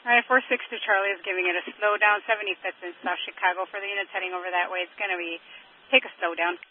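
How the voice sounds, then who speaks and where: A man's voice: high-pitched, fairly even intonation, fast talker. A man working as a police dispatcher, relaying procedural information over a police scanner radio. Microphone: two-way radio heard over a scanner. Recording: tinny, noisy police scanner radio with clicks.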